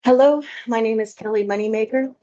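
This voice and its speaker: A woman's voice: a little high, fairly even intonation, brisk, mellow. A woman from Alaska giving a conference talk as a filmmaker, telling her story in a chatty, anecdotal way. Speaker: filmmaker presenter. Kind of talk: conference presentation. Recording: dull lo-fi audio.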